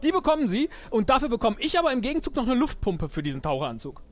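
A man playing an eager, brash would-be pirate in an improvised audio drama, chatty, excitable and fumbling. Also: very high voice, racing, faint music behind. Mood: eager bargaining.

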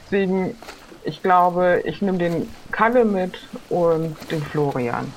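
A woman's voice: lowish voice, slow, a little hiss or hum, rough recording. A woman playing an agreeable, level-headed adventurer in an improvised pirate roleplay, talking in a considered, colloquial way. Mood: considering.

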